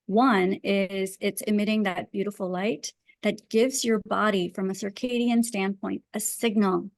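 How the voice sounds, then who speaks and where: A woman's voice: mid-range voice, fairly even intonation, a little slow, vocal fry. A woman speaking as a guest expert in an interview, explaining her ideas conversationally in an earnest, emphatic way. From Pakistan. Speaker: guest wellness and design expert.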